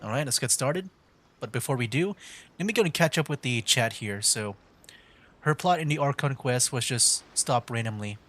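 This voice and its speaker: Chatty male game streamer, medium pitch. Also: expressive, moderate pace, fairly bright tone. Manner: informal slang, chatty, reactive. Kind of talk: casual livestream gameplay commentary. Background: faint game music.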